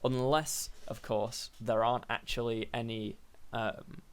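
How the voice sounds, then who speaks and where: Young guy a bit croaky, rambling thru a coding tutorial, lots of ums and self corrections, medium pitch. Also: little pitch movement, unhurried. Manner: thinking aloud, rambling with fillers and self-corrections. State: slightly hoarse, croaky voice. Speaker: programming tutorial host. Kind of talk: casual screen-recorded coding tutorial.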